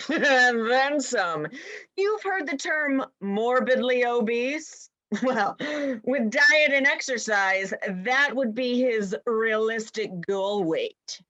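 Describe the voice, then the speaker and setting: A woman's voice: on the high side, very slow, fairly bright tone, highs cut off. A woman playing an irritable, sarcastic rabbit in a dramatized play reading, with a mocking way of talking.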